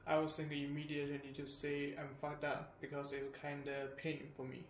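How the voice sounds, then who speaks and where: Flat-voiced man in an echoey room. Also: a little high, a little fast. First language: Chinese. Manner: hesitant, many fillers, flat delivery. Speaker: interviewee. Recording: roomy indoor echo, faint hum.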